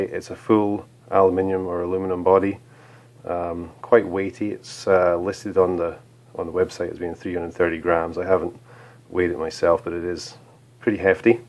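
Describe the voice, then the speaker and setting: A man casually reviewing a flashlight for his channel, relaxed, with many ums and uhs, just over a bad cold. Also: low voice. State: recovering from a cold.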